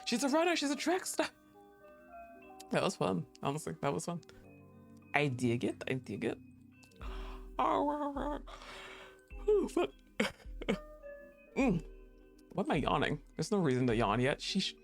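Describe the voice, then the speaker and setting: A woman's voice: lowish voice, huge pitch swings, a little slow, gravelly, long pauses, soft background music. Rambling, chuckling woman streamer. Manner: rambling, chuckles often, swears offhandedly. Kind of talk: casual stream chat.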